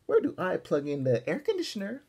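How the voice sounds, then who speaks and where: Man putting on a high, whiny rich little girl. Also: huge pitch swings, brisk, creaky, darkish tone, slightly rough, exaggerated voice.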